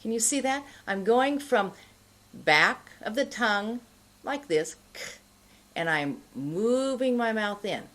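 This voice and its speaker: A middle-aged woman giving an instructional pronunciation lesson to camera, enunciating deliberately and carefully. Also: a little high, expressive, a bit bright. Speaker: pronunciation teacher.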